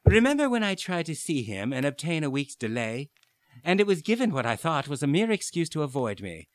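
An actor playing an earnest, gentle husband burdened by debt, in a radio play. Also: male voice, fairly high pitch, sing-song, brisk, no echo. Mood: relieved.

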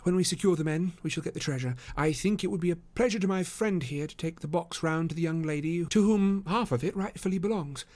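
A gentleman detective from a storybook, voiced by a male narrator. He speaks in a raised, precise voice, commanding and self-assured with quiet amusement underneath. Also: on the high side, fairly quick, few pauses, pitched above the performer's own voice, lightly acted. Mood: commanding, brisk.

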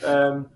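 Irish man, flat voice, distant and roomy. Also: fairly high pitch. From Ireland. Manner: hesitant, repeats words, laughs easily. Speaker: podcast guest. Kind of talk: casual sports chat.

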